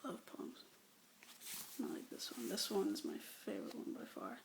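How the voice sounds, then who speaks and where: A young woman whispering love poems aloud with hesitant, rambling asides between them, breathy and soft, close to a phone or webcam mic. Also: lowish voice, wildly swooping pitch, moderate pace, a little hiss or hum. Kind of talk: whispered poetry reading, ASMR-like.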